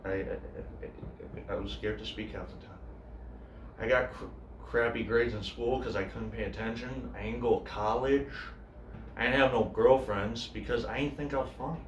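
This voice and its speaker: Man acting a quiet, grieving cook, echoey room. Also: medium pitch, steady tone, far from the mic, lightly acted. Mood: hurt, confessional. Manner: quiet, hesitant, confessional with broken pauses. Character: grieving male cook, subdued, haunted by his brother. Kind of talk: dramatic monologue, naturalistic screen-style acting. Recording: echoey room, faint noise.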